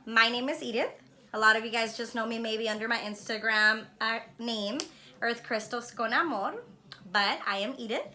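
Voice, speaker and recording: A woman's voice: fairly high pitch, moderate pace, bright, forceful tone. A woman talks casually and earnestly to her viewers, reflective and often checking in with a "right?". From born in Honduras, living in Los Angeles. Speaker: spiritual vlogger and card reader. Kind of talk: casual heartfelt talk to viewers.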